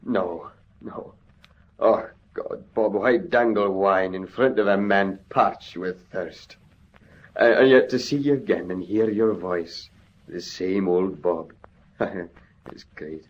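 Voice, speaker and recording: An actor playing a proud, bitter and intense ex-convict in an old radio drama, with theatrical, impassioned delivery. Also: male voice, low voice, slow. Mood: anguished. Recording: dull, lo-fi old radio recording.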